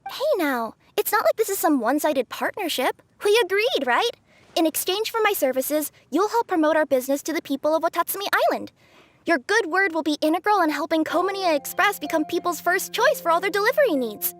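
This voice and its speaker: Game casting: eager, polite cat-girl courier, extremely high-pitched, earnest and peppy. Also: animated, fairly quick. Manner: eager, bright-spirited, earnest. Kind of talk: video game cutscene acting.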